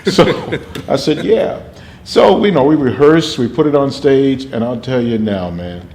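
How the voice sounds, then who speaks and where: An older man, an actor and drama teacher, telling animated stories from his life in an interview with a booming voice. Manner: animated storyteller, laughs often. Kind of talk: interview storytelling. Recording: roomy with faint noise.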